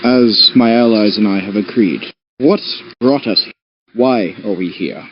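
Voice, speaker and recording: A man's voice: on the low side, a little slow, dry recording. A man playing a tabletop game, moving between casual chat and talking in character. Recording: dry, dull lo-fi audio.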